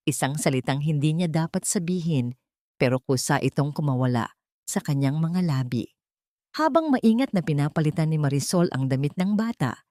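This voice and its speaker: A female storyteller with a slightly low voice narrates a romantic drama from a script. Her delivery is solemn and emotive. The sound is close and dry, without room echo. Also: a little mellow.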